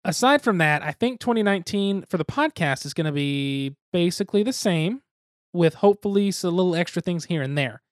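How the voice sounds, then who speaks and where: High-voiced man rambling on a podcast. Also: lively intonation, a little fast, no room sound, clean studio sound. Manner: rambling, self-deprecating, jokey. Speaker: podcast co-host. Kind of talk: casual podcast chat.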